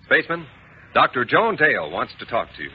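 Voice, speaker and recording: A man's voice: mid-range voice, very animated, brisk. An American man delivering an emphatic 1950s children's radio advertisement as announcer. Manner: emphatic, repetitive salesman delivery. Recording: muffled, noisy 1950s radio recording.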